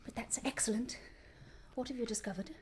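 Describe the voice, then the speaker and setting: A high, theatrical stage star. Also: female voice, brisk, breathy edge. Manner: theatrical, declaiming. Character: theatrical Victorian stage actress, dramatic. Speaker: actress.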